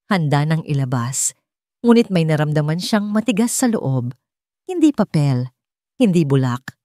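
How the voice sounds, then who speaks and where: A woman's voice: lowish voice, a little mellow, dry recording, studio quality. A woman narrating a dramatic scripted love story.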